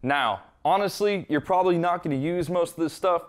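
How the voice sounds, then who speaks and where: A man's voice: a little high, brisk. A man presenting a hands-on equipment tutorial, explaining step by step in an informal, conversational way.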